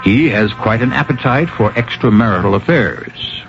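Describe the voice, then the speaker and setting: A man hosting a radio mystery drama with scripted narration, measured, wry and rhetorical. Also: mid-range voice, lively intonation, vocal fry. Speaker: host and narrator of a mystery anthology. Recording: dull radio recording with music.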